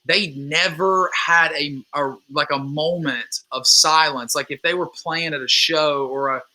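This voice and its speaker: A man in his twenties from Louisiana talks casually as a guest, rambling and earnest, circling back with restarts and fillers. His tone is strikingly bright and ringing. His voice sits a little high for a man. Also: steady tone, unhurried. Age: 20s. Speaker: guest musician. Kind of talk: casual interview chat.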